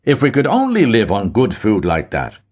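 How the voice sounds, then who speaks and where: A man's voice: medium pitch, wildly swooping pitch, normal speed, pitched above the performer's own voice. A man voicing a brash, mocking young male medical student with a theatrical, mock-solemn manner. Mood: boisterous.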